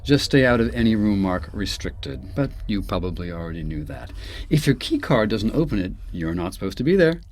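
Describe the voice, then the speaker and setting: Composed senior scientist, swooping intonation. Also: male voice, lowish voice, big pitch swings, a little fast, few pauses, darkish tone. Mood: pleasant, measured. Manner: patient, composed, clinical. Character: calm, unflappable senior male scientist, quietly unsettling. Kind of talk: audio drama acting.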